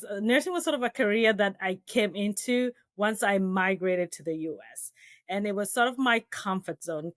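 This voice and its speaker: A woman's voice: medium pitch, no echo, studio quality. A middle-aged woman, born and raised in Kenya, speaking candidly and reflectively as an interview guest on a podcast. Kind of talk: interview conversation.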